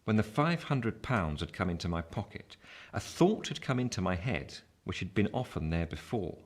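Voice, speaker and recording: An actor playing a grown gentleman looking back on his life, narrating a radio play in a measured, reflective way. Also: sits low, big pitch swings. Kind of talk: scripted first-person narration.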